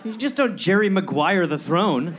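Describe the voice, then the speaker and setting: A high, lively male voice swings all over as he riffs incredulously beside the storyteller at a live podcast taping, often slipping into goofy character bits. Stage noise is clearly heard around him. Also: normal speed. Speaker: podcast co-host. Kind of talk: live comedy podcast banter. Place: stage before a live audience.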